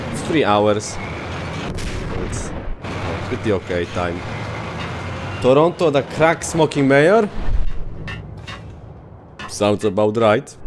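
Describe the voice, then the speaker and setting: A man in his thirties with a Polish accent, chatting loosely with viewers and swearing at the game as he streams. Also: fairly low pitch. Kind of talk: casual livestream chat over gameplay. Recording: noisy game audio underneath. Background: game gunfire and ambience.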